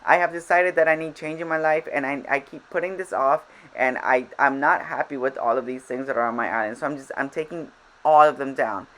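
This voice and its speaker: A woman's voice: a bass voice, a little fast, fairly bright tone, low-quality recording. Chatty, rambling woman with Spanish first language. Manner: rambling, self-interrupting, chatty tangents. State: a little frazzled. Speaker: game vlogger. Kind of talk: casual voiceover over gameplay.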